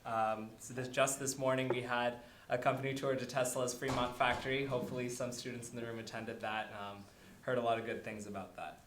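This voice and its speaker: Young male student organizer, mid-pitched, casual welcome speech with fillers, roomy lecture hall. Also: steady tone, brisk, noisy background. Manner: filler-heavy, listing events. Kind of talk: event welcome remarks.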